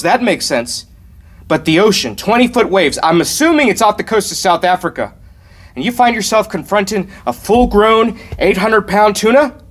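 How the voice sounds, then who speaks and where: A man's voice: high-pitched, a bit bright, full character voice. A man performing a comic film monologue as a cocky, indignant, argumentative ranter. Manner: emphatic, argumentative. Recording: faint background room noise.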